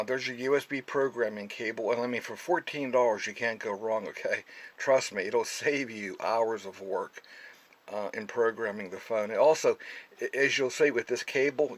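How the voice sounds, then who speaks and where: A man presents a how-to in a casual, off-the-cuff way. His voice sits at an average pitch. He explains step by step, halting as he goes and frequently pausing to check that the listener follows. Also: a bit bright. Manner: halting, often checking with "okay". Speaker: radio tutorial presenter.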